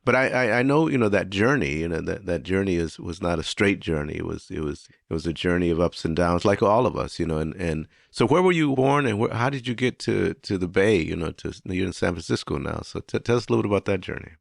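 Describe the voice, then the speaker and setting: An older man with a very low, gravelly voice, heard dry and close. As host he affirms his guest warmly and keeps filling his phrases with "you know.". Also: lively intonation, quick pace, hardly any pauses, no room sound. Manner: warm, affirming, heavy use of "you know". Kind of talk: interview conversation.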